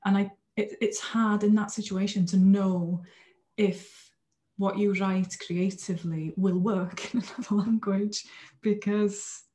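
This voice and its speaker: Reflective Welsh-speaking woman, mellow voice. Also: medium pitch, fairly even intonation, measured pace. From Wales. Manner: reflective, hesitant, repeats phrases. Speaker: novelist. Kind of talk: to-camera talk. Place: home room. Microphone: webcam.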